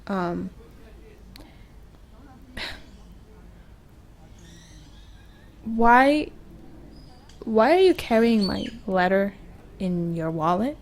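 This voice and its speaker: A woman playing a vulnerable young woman confessing love in an intimate ASMR roleplay, halting and hesitant, often repeating her words. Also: fairly high pitch, a little mellow, a little hiss or hum. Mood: nervous, bewildered.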